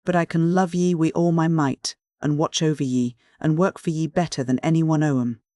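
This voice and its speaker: A man voicing a rough, earnest shepherd with a husky Northern English dialect, blunt and stubborn. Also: fairly high pitch, fairly even intonation, normal speed, mellow, no echo, natural voice. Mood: pleading. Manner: blunt, pleading, dialect-heavy.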